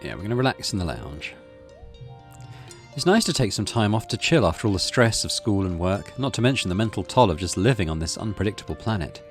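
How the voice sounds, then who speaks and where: A man's voice: on the low side, very animated, a little fast. A middle-aged man hosting a gaming video, reading story text aloud and adding casual commentary. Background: game music.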